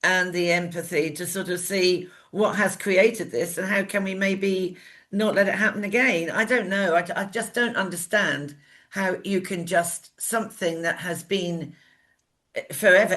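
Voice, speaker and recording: A woman's voice: medium pitch, normal speed, a bit bright. A woman co-hosting a talk show, chatting casually and giving opinions, often repeating words and posing questions as she works out her thoughts.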